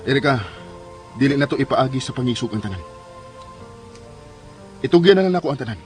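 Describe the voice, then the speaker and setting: A man playing a calm, doting father who persuades gently in a radio drama, with a Filipino accent. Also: medium pitch, fairly quick, natural voice. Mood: persuasive. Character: calm, doting young father plotting to keep a baby. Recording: radio drama with very loud music underneath.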